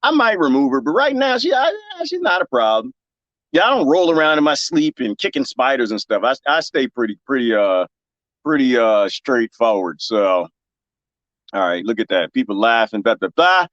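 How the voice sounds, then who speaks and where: Lively joking man with a Texas accent. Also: medium pitch, normal speed, no echo, lo-fi sound. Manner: animated and joking, laughs often, talks straight to his chat. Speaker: livestream host reading viewer comments. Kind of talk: casual chat with viewers. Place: garden, planting cuttings.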